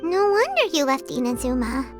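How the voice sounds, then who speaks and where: Casting: a tiny, chirpy game fairy girl, extremely high squeaky voice, animated delivery over music. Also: normal speed. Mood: sympathetic. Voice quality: squeaky, childlike. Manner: eager, exclamatory, speaks of herself in third person. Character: small childlike fairy companion girl, chirpy and earnest. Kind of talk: anime-style game voice acting.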